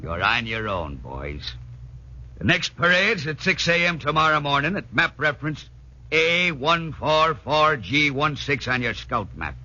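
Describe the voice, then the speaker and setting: An unbending sergeant major in an old radio play. He is clipped and commanding, with a hard bright edge and a lively range. The recording is dull and vintage. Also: on the high side, unhurried, heavily acted. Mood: dryly amused. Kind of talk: 1940s radio acting. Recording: muffled 1940s radio broadcast.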